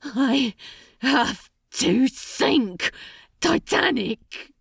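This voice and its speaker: A woman's voice: high voice, lively intonation, very slow, vocal fry, husky, no echo, clean studio sound, full character voice. A woman voicing an anguished, despairing male demon in a story reading, panting and strained through clenched teeth. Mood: anguished, desperate. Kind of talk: dramatic fan fiction reading.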